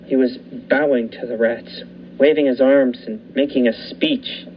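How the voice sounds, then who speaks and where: A young man voicing a lighthouse keeper who narrates a suspenseful radio drama in a measured, dramatic way with lingering pauses. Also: medium pitch, steady tone, natural voice. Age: young adult. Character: male lighthouse keeper recounting a nightmare. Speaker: student actor. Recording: muffled with faint hiss.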